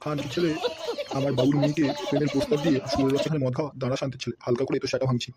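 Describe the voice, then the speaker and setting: A man's voice: medium pitch, flat delivery, rapid-fire, a loud crowd, natural voice. A man voicing a loyal but blundering thug in a comedy cartoon, brash and swearing. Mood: threatening. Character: loyal, thuggish, blundering male henchman. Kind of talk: amateur comedy cartoon voice-over.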